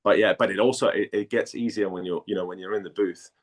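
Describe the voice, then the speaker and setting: A man from Denmark chatting casually as an interview guest, speaking haltingly and repeating words as he thinks. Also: sits low, fairly even intonation.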